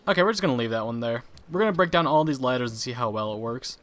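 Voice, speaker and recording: Bright-voiced young man narrating his gameplay. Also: mid-range voice, lively intonation, very fast. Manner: chatty, laughs often at his own mistakes. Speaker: gaming channel host. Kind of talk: casual gameplay commentary.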